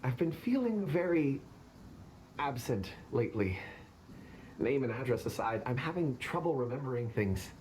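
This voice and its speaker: A man's voice: medium pitch, sing-song, moderate pace, darkish tone, a little hiss or hum, natural voice. A man voicing a polite, anxious, forgetful character in a video game, hesitant and apologetic. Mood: uneasy.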